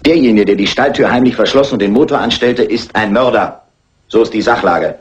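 A man's voice: fairly low pitch, a little fast, lo-fi sound, lightly acted. A man playing a stern, insistent police inspector in a crime drama, clipped and authoritative. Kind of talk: German crime film dub acting.

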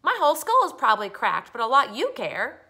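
A woman voicing a boisterous, attention-seeking young boy in a children's story, loud and excitable. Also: sits high, fairly quick, vocal fry, pitched above the performer's own voice, full character voice. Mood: indignant.

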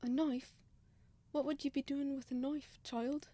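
A woman's voice: sits high, fairly even intonation, a little slow, dark tone, no room sound. A woman playing a pious, timid, kindly old male servant, lamenting in old-fashioned radio drama style. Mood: kindly, concerned.

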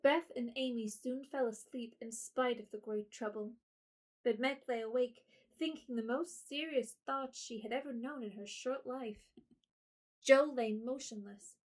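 Expressive woman narrating a story. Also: on the high side.

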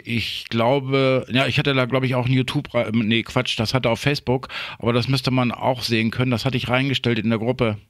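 Medium-pitched man chatting, dry sound. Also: dry recording. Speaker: amateur radio operator. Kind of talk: casual radio chat.

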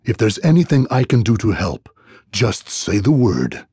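An actor playing a kindly, fatherly middle-aged innkeeper in a video game, gentle and folksy in manner. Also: on the low side, very animated, unhurried, breathy edge, full character voice. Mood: gentle concern.

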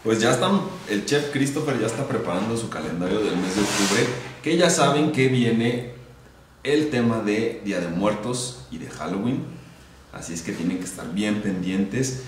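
Low-voiced male co-host, echoey. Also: animated, normal speed, slight background noise. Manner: chatty, reads out viewer questions and promotes the channel. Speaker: livestream co-host reading viewer comments. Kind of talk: casual live stream hosting. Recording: echoey kitchen livestream.

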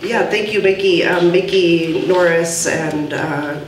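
A woman's voice: on the low side, takes it slowly. A woman moderating a panel discussion at a podium, speaking earnestly with many fillers and taking audience questions. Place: gallery event room, indoors. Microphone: podium mic from a far camera. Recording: roomy, distant, faint hiss.

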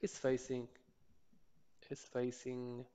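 A man in his thirties, a Polish native speaker, explaining an engine rebuild in his workshop, talking haltingly with many fillers and restarts. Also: medium pitch, monotone, dark tone, clear, resonant tone. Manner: slow and hesitant, many filler sounds and restarts. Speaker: motorcycle mechanic channel host. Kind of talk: hands-on repair tutorial. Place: cluttered home workshop.

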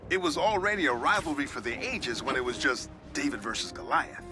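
A man's voice: mid-range voice, very animated, brisk, music in the background, natural voice. An actor playing a genial middle-aged New York newspaperman with an American accent, telling nostalgic, reflective stories in a video game. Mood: fond. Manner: storytelling, reflective. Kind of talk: game voice acting, conversational monologue.

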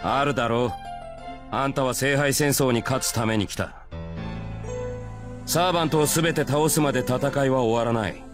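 An actor playing a gruff, confident spearman in a visual novel game, talking in rough, casual, matter-of-fact speech. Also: medium pitch, moderate pace, pauses often, music in the background, lightly acted. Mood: cold, resolute. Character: gruff, confident male spear-wielding warrior, blunt and unflinching.

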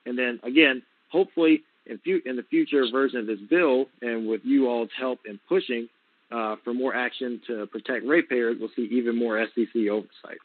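A man's voice: mid-range voice, brisk, no room sound. A man giving an unscripted, conversational explanation as an advocate on a conference call over a telephone. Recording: tinny, narrowband phone line.

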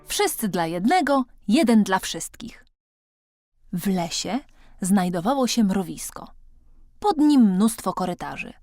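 A woman's voice: medium pitch, sing-song, unhurried, a little mellow, soft background music. A woman reading a children's storybook as an audiobook narrator, expressively and with dramatic emphasis.

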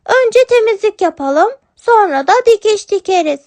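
A woman voicing an eager, helpful young kitten in a children's storybook, with an excitable childlike delivery. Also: very high-pitched, normal speed, no echo, pitched above the performer's own voice.

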